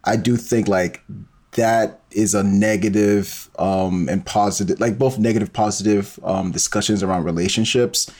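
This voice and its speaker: A man's voice: fairly low pitch, fairly even intonation, moderate pace, darkish tone. A man in a casual, reflective conversation as a podcast guest, hesitant and searching for his words. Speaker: podcast guest, fiction podcast creator.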